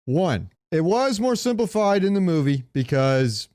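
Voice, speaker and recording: A man's voice: sits high, sing-song, unhurried, darkish tone, dry recording, clean studio sound. A man co-hosting a podcast, chatting casually with informal, opinionated, sweary talk. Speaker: podcast co-host. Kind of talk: casual chat about comic book movies.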